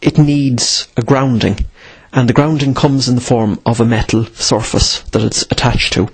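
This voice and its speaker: Husky-voiced man giving an informal explainer. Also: mid-range voice, steady tone, moderate pace, rough recording. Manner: asks and answers own questions, emphatic repetition. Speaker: presenter of instructional radio videos.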